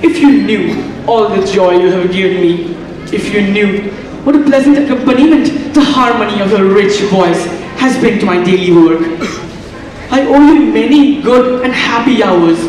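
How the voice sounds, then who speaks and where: A man's voice: sits high, normal speed, darkish tone, close to an everyday voice. A young man playing an earnest, courteous male poet on a school stage, speaking in a formal, theatrical way. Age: young adult. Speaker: student actor. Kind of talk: stage acting in a school play. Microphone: far stage mic. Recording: echoey hall from far off, music behind.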